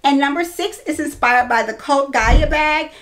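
A woman in her thirties with a fairly high voice hosts a casual fashion showcase. She talks chattily and straight to her viewers, presenting items one after another from a small indoor room. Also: normal speed, fairly bright tone. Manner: chatty, addresses viewers as girls and ladies. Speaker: fashion vlogger and host. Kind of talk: casual product showcase to camera. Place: small indoor room lined with clothes and bag shelves.

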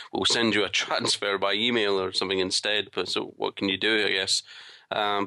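A man's voice: lowish voice, creaky, bright, forceful tone, no room sound. A man co-hosting a podcast, chatting casually in a reflective, agreeable way. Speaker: podcast co-host.

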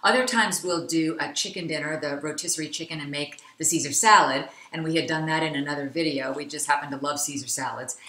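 A woman's voice: low-pitched, quick pace, hardly any pauses, pushed and bright. A middle-aged woman co-hosting a casual cooking video in her home kitchen, chatty and laughing often as she explains each step. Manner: chatty, laughs often, gestures while talking. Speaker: cooking show co-host. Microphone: camera mic across the kitchen. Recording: echoey kitchen.

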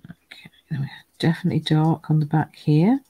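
A woman with a croaky voice, chatting through a live card-making demonstration at her craft table, greeting viewers by name as she stamps and colours. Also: low voice, a little fast, mellow, slight background noise, rough recording. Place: home craft room.

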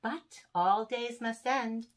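Slow-paced older woman reading to children. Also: a little high. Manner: deliberate, animated phrasing with dramatic pauses. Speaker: storyteller reading aloud. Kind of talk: expressive children's poetry reading. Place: small furnished room indoors.

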